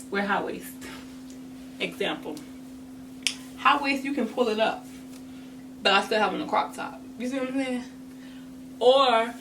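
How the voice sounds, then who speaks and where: Casual sassy woman, distant noisy mic. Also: mid-range voice. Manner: sassy, emphatic, informal slang. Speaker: host of a fashion advice vlog. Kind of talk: casual chatty advice to camera. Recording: distant mic with noticeable background noise.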